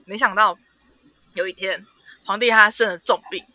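A woman with a Taiwanese Mandarin accent hosting a podcast, casually retelling a story with animated, playful asides. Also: medium pitch, normal speed, no echo. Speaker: podcast host. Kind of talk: casual storytelling and book chat.

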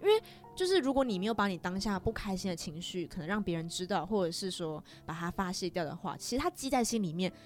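A woman with a Taiwanese accent hosting a casual chat, telling things in an animated way and acting out little remarks. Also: fairly low pitch, fast talker, faint music behind. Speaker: podcast host.

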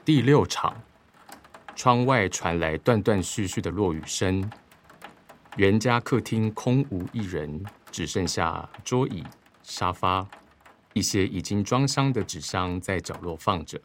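A man narrating a radio drama from a script, measured and dramatic. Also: low voice, expressive, slight background noise. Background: music.

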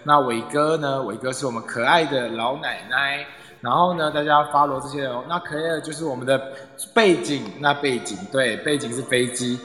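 A man's voice: mid-range voice, normal speed, bright, forceful tone. A man with a Taiwanese Mandarin accent casually hosting a live online audio room, often repeating his phrases as he organizes things. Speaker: club president and host. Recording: echoey, long reverb.

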